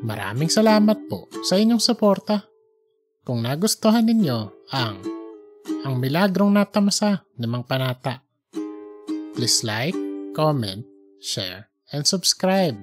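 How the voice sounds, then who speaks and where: A Filipino-accented narrator reads a story for an audiobook podcast. The voice sits in a middle register and follows scripted prose. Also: mid-range voice.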